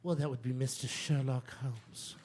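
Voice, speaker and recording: An actor playing a conspiratorial criminal lieutenant in a radio drama, brisk, businesslike and dutiful. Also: male voice, medium pitch, steady tone, a little mellow, a little hiss or hum, close to an everyday voice. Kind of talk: theatrical radio drama acting.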